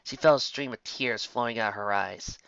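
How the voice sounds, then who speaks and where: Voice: medium pitch, normal speed, gravelly, a bit bright, clear, resonant tone, dry recording, dull audio. A narrator reading scripted fiction aloud as an audiobook.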